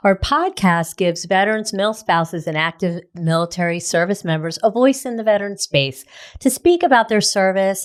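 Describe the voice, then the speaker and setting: A woman hosting an interview podcast, upbeat and enthusiastic, asking questions with a few restarts. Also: on the low side. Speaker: podcast host. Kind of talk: casual interview.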